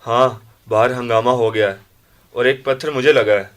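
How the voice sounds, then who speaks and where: An actor playing a brave, injured son in a radio drama, speaking in a hurried, urgent way. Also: male voice, mid-range voice, steady tone, a bit bright, lightly acted. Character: brave, injured adult son, defiant and reassuring.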